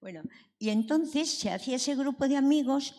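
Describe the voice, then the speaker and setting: A woman's voice: sits high, lively intonation, normal speed, darkish tone. An elderly woman from Barcelona with an accent from Spain, speaking from the audience and telling memories in a digressive storytelling way. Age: 70s or older. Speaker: audience member. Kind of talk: spoken personal reminiscence. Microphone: handed audience microphone. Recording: echoey hall, long reverb.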